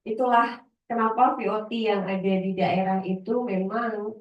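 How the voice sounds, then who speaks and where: A chatty woman with a Javanese lilt teaches a casual workshop, rambling a little and pausing to find her words. She sounds set back from the microphone in a roomy, echoing space. The sound is a bit dull. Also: mid-range voice, fairly even intonation, recorded from a distance. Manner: chatty, loose, laughs easily, trails off searching for words. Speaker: voice-over trainer. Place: library workshop room.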